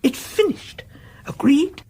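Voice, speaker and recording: A woman's voice: takes it slowly, dark tone, lightly acted. An actress playing a kindly, anxious elderly Englishwoman in a radio play, with a genteel, fussy, hesitant and sympathetic manner. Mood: sorrowful, firm. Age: older. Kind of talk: dramatic radio play acting.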